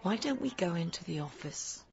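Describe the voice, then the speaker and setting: Wry actress as a heavenly assistant, lively delivery. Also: female voice, fairly low pitch, normal speed, vocal fry, a faint crowd, slight background noise. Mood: encouraging, practical. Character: dry, sardonic female celestial assistant, brisk and practical. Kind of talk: audio drama acting. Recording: muffled audio drama mix under loud music.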